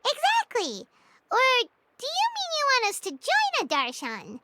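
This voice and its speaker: Tiny fairy sidekick, very high and bouncy, game music behind. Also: female voice, wildly swooping pitch, heavy background noise, exaggerated voice. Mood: curious. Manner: bubbly, eager, quick to react. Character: tiny floating female fairy companion, chirpy. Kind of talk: video game voice acting.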